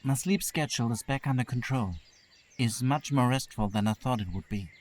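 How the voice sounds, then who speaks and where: A man playing a gentle young man in an audio drama, speaking softly and quietly. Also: medium pitch, moderate pace, lightly acted.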